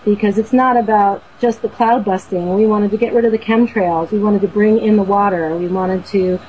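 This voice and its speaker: A woman's voice: medium pitch, fairly even intonation, moderate pace, darkish tone, lo-fi sound, slight background noise. A woman from Boston, a guest telling a story in an earnest, rambling way, full of fillers and restarts. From Boston, USA. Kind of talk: casual storytelling on a talk show.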